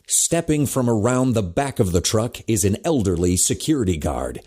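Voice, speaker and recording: A man's voice: on the low side, sing-song, moderate pace, mellow. A man reading scripted narration for a superhero audio drama in a dramatic storytelling manner. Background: music.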